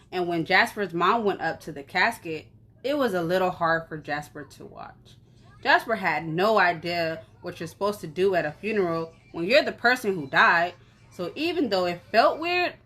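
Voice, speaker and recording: Woman storyteller with lively, swooping intonation. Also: fairly low pitch. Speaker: storyteller reading aloud. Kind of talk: expressive children's story narration.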